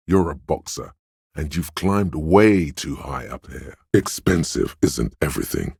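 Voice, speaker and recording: An actor voicing a suave, theatrical inner voice in a video game, narrating in a measured, wry way with a gravelly, husky tone. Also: male voice, rumbling low, darkish tone, no room sound, clean studio sound, exaggerated voice. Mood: wry alarm. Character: suave, theatrical inner voice of a detective's flair and agility. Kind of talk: scripted second-person game narration.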